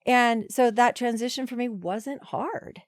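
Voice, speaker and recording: A woman's voice: on the high side, unhurried, dry recording, clean studio sound. A woman in her forties, a podcast guest, talking in a chatty, emphatic way with many restarts. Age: 40s. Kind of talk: casual conversational interview.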